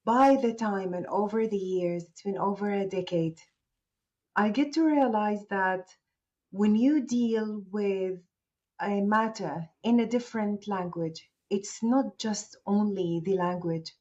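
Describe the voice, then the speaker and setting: A woman whose first language is Arabic talks in a polite, thoughtful, wandering way as an interview guest. Her voice sits at a middle pitch. The sound is dull and lo-fi. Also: takes it slowly, mellow. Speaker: guest, agency founder. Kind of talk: interview conversation.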